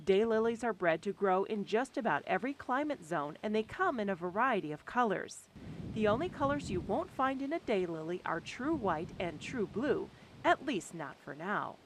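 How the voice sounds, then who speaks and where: A woman reading scripted documentary narration in a lively, expressive way, recorded as a studio voice-over. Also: mid-range voice, normal speed, slight background noise. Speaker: program narrator. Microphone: studio voice-over mic.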